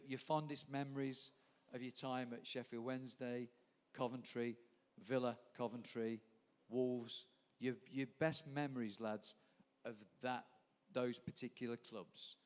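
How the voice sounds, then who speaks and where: Guy hosting a live stage night, chatty storyteller, echoey hall sound. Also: mid-range voice. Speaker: event host. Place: live event venue.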